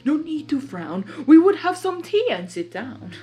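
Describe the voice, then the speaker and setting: Woman as storybook zebra adviser: female, measured rhyming speech, high voice. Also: very animated, dark tone, soft background music. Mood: soothing. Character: female zebra sage, gentle adviser speaking in rhyme.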